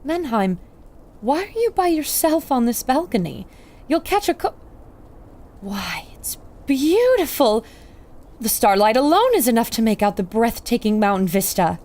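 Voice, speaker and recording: A woman's voice: a little high, sing-song, measured pace, darkish tone. A woman playing a spirited, caring radio reporter on an expedition, confiding dramatically to listeners in a pulp adventure serial. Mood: tender.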